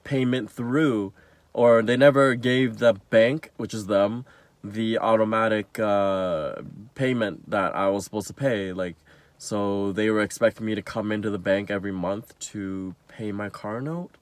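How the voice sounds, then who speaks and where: Young man, sick, nasal, lowish voice, casual rambling, dry close mic. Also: fairly even intonation, a little slow, dry recording. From Canada. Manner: rambling, many fillers and restarts. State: sick, under the weather. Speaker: vlogger.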